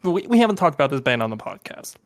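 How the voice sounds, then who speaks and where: A man's voice: on the high side, big pitch swings, quick pace, dry recording. Rambling young man, dry close mic. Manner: rambling, many ums and restarts, enthusiastic. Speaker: podcast co-host. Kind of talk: casual music review chat.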